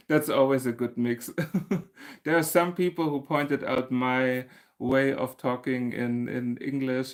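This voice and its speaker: A man, hosting a casual livestream and chatting through viewer comments, laughing often and restarting his sentences. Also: medium pitch. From Germany. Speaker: crochet channel host.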